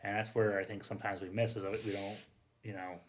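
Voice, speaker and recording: A man's voice: fairly low pitch, little pitch movement, a little fast, slight background noise. A man telling things in a casual, informal podcast style, often interrupting himself and peppering his talk with "like". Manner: informal, frequent "like", self-interrupting. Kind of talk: casual conversational storytelling.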